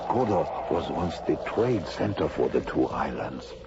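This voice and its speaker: An actor playing a grave, gentle, dignified lord who speaks in a measured, formal way in a radio drama. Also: male voice, a bass voice, sing-song, creaky, heavy background noise, full character voice. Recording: dull old radio drama recording.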